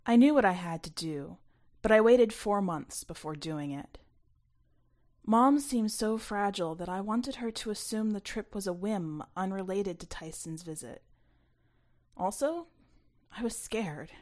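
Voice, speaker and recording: A woman reading scripted first-person fiction aloud, expressively, with some hushed asides. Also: medium pitch, unhurried. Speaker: story reader.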